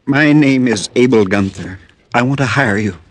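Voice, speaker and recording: An actor playing a sickly, desperate farmer from Haiti in an old radio drama, halting, earnest and polite. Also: male voice, mid-range voice, lightly acted. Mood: weary, pleading. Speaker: actor in a supporting role. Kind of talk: 1940s-50s radio drama acting. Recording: old radio recording, dry studio.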